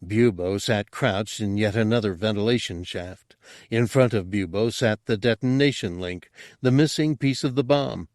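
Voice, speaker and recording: Low-voiced man narrating an audiobook. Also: normal speed, darkish tone, dry recording, studio quality. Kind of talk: dramatic scripted fiction narration.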